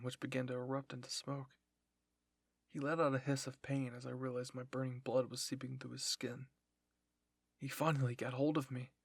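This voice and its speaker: A man reads fan fiction aloud in an intense, emotive style. His voice is husky and breathy, recorded dry and close with no room sound. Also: mid-range voice, no room sound. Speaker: narrator of fan fiction roleplay. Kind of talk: dramatic first-person story reading.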